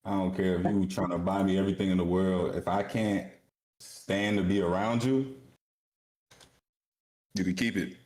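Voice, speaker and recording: A man's voice: sits low, steady tone, moderate pace, long pauses, a little mellow, low-quality recording. A man from South Florida chatting casually on a livestream, slangy, swearing and teasing. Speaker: trading stream co-host.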